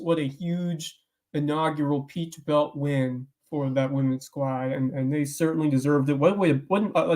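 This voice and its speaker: A man's voice: fairly high pitch, darkish tone. A man co-hosting a local sports podcast, recapping games with emphatic enthusiasm. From South Carolina. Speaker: podcast co-host. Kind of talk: sports commentary chat.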